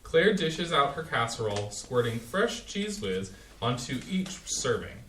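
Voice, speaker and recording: A man's voice: mid-range voice, a little slow, a little hiss or hum. Man reading stage directions from afar. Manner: plain, even narration. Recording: distant, roomy stage sound.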